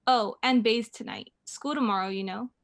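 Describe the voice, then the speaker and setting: A young woman playing a patient, indulgent mother in a radio play, gently chiding and mildly amused. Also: a little high, normal speed, vocal fry.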